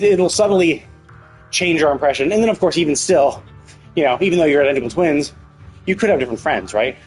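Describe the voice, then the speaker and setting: A man with a husky voice giving casual, rambling livestream commentary, thinking aloud and often rephrasing his own questions. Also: a little high. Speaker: livestream host.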